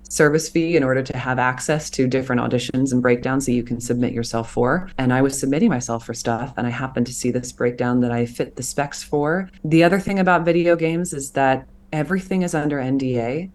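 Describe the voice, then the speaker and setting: A woman in her thirties, a voice actor being interviewed, talking earnestly and reflectively about her craft. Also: a bass voice. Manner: earnest, reflective, animated when explaining. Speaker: voice actor guest. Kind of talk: interview conversation.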